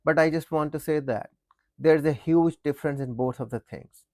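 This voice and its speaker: A man with a Pakistani accent giving an explanatory opinion monologue, speaking in a measured, deliberate way and rephrasing his points. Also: fairly high pitch, darkish tone, no echo, studio quality. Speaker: commentator.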